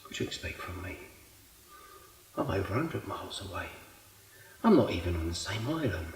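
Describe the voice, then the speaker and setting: A man's voice: low voice, big pitch swings, brisk, a little hiss or hum. A man playing an exasperated, guilt-ridden middle-aged character in a colloquial, emotionally volatile stage monologue. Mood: weary, defensive. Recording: echoey room.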